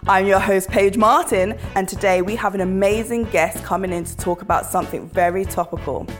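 A woman hosting a casual podcast interview, chatty with quick asides. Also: mid-range voice, fairly even intonation, faint music behind. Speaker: podcast host.

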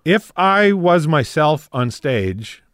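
A man's voice: fairly high pitch, big pitch swings, slow pace, a bit bright, no room sound, clean studio sound. A middle-aged man from rural Saskatchewan with a Canadian prairie accent. He is a comedian chatting casually as a guest, rambling and correcting himself as he goes. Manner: rambling, self-correcting, laughs easily. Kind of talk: casual interview chat.

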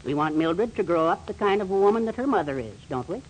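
An actor playing a meek, henpecked middle-aged husband in 1940s radio comedy, mild, hesitant and wistful. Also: male voice, high-pitched, lively intonation, quick pace. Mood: confiding. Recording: faintly noisy 1940s radio recording.

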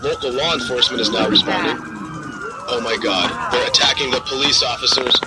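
A man's voice: mid-range voice, expressive, brisk, heavy background noise, lightly acted. A man playing a shaken TV news reporter in an audio drama, speaking formally and urgently. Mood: alarmed, urgent. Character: shaken male TV news reporter covering violent attacks. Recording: loud music and sirens behind.

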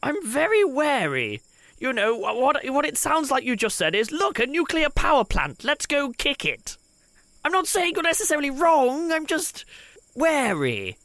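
A man with a creaky, gravelly voice and very lively pitch, recorded close and dry. He improvises at a gaming table, hesitant and wordy, with a wry streak. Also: moderate pace, no room sound. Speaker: player in a tabletop role-playing show. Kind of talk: improvised in-character table talk.

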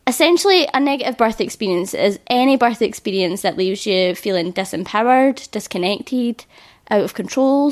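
A woman in her thirties hosting a solo podcast as a birth educator. Her voice is slightly croaky from illness, and she talks in an earnest, reassuring way. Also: fairly high pitch.

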